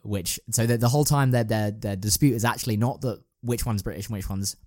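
A man's voice: on the low side, fairly quick, a little mellow, no echo, studio quality. A man co-hosting a casual discussion, hesitant and often correcting himself as he thinks aloud. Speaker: podcast co-host. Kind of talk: casual chat about staging a radio play.

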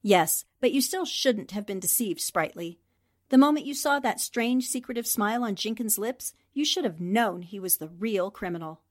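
A woman playing a shrewd, self-assured 1940s newspaperwoman in a radio drama, explaining things precisely and with gentle teasing. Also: medium pitch, animated, moderate pace, lightly acted.

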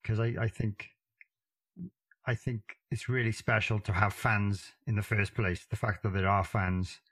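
A man from Wales with a Welsh accent, talking modestly in a casual interview, with frequent halting restarts. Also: fairly low pitch, flat delivery, a bit bright. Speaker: drummer, interview guest.

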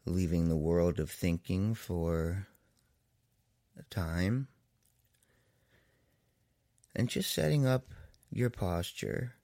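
Low, creaky man guiding a slow meditation. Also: dark tone, no echo, studio quality. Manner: slow, soothing, with long pauses between phrases. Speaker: therapist and meditation guide. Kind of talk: guided meditation.

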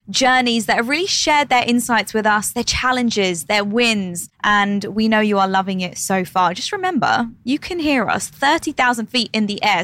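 A woman's voice: mid-range voice, fairly bright tone. A woman hosting an interview podcast, welcoming her guest and asking about her upbringing with frequent affirmations. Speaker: podcast host.

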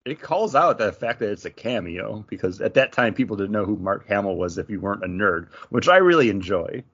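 A man's voice: on the low side, animated, fairly quick, a bit bright, slightly rough, highs cut off. A man co-hosting a podcast, chatting casually about geek news. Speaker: podcast co-host.

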